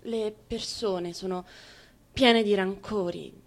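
An actress playing a moody, dreamy young German woman in wartime, in an introspective theatrical monologue of measured short phrases. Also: medium pitch, fairly even intonation. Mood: tender, sober.

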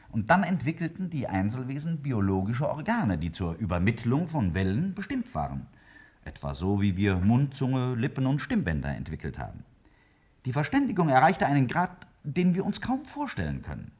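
A man's voice: medium pitch, darkish tone, slightly rough, full character voice. A man playing a brilliant, self-assured scientist in a 1950s German radio drama, lecturing emphatically and brusquely. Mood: emphatic, certain. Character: brilliant, self-assured male scientist explaining a daring theory. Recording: vintage 1950s radio play recording.

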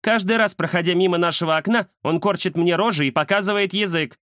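Man as a bossy, high-voiced grumpy she-cat. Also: fairly quick, a bit bright, no echo, clean studio sound. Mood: angry, threatening. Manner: haughty, scolding. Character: grumpy stout bossy female cat, quick to threaten.

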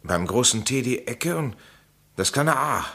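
An actor playing an eager, insistent amateur sleuth in a crime radio play, speaking with dramatic emphasis. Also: male voice, medium pitch, animated, unhurried, pushed and bright, exaggerated voice. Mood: intent, discovering. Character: insistent amateur sleuth, a male playwright under suspicion. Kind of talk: vintage German crime radio play acting.

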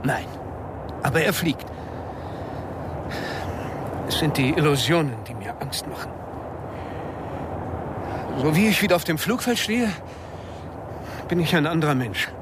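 An actor playing an anxious, talkative, philosophising airline passenger in a radio crime drama, rambling nervously. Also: male voice, a little high, lively intonation, measured pace, pauses often. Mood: nervous, musing. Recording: loud engine-like vehicle noise behind.

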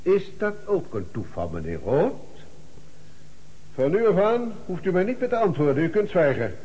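A shrewd police commissioner in a radio play. His voice sits fairly high and swings widely as he questions with theatrical, insistent precision. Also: big pitch swings, moderate pace, darkish tone, low-quality recording. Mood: grave, triumphant. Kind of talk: Dutch radio drama acting.

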